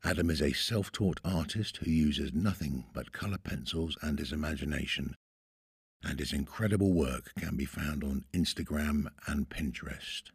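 A man hosting a podcast as a voiceover artist, giving scripted poetic narration in a measured, formal, theatrical manner. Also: a bass voice, slightly rough, no room sound, studio quality. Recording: solo flute music behind.

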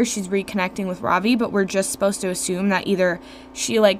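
A young woman hosting a podcast, talking casually about a book with lots of "like" fillers, her voice a little gravelly and worn from screaming. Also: mid-range voice. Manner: chatty, lots of "like" fillers, expressive. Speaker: podcast host reviewing a book. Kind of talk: casual solo podcast talk. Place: home room. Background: faint fridge hum.

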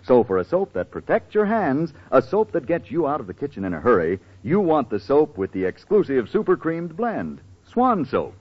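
Lively 1940s radio announcer man. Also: on the high side, normal speed, few pauses. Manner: smooth, emphatic salesmanship. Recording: muffled 1940s radio recording.

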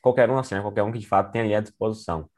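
A man hosting a podcast, chatting informally and briskly with his guest and signing off to listeners. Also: on the low side, steady tone, a little mellow. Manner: brisk, informal, sign-off phrases. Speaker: podcast host. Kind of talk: casual interview chat.

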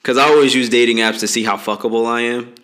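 A man's voice: mid-range voice, little pitch movement, quick pace, fairly bright tone. A man in his late twenties co-hosting a podcast, giving laid-back, joking dating advice in casual slang. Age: late 20s. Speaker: podcast co-host. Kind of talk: casual chat.

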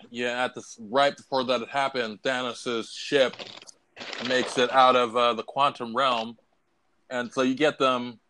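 A man's voice: mid-range voice, sharp tone, low-quality recording. A man hosting a podcast, chatting casually and informally about movies with some swearing. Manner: informal, profane. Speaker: podcast host. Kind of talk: casual movie review chat.

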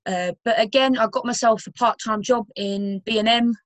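A woman's voice: on the high side, steady tone, normal speed, no echo. A young woman in her twenties with a London accent, chatting as a guest in a casual podcast interview, full of fillers and restarts. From Romford, London. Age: 20s. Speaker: guest footballer and personal trainer.

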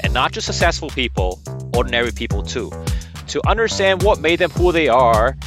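A man's voice: mid-range voice, sing-song, a little fast, music in the background. A man hosting a podcast, who reads a scripted welcome and then chats casually with his guest, laughing often. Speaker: podcast host.

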